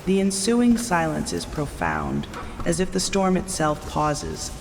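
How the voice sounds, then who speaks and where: A man giving atmospheric, scripted gothic narration in a measured, dramatic, solemn manner. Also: on the high side, wildly swooping pitch, vocal fry. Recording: long reverb, loud music behind.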